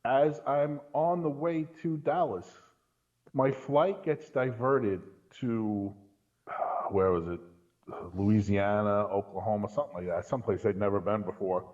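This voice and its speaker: A man's voice: mid-range voice, darkish tone, muffled recording. A man chatting casually as a podcast guest, telling anecdotes and checking in with his listener as he goes. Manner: storytelling with rhetorical check-ins. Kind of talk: casual anecdotal chat about films.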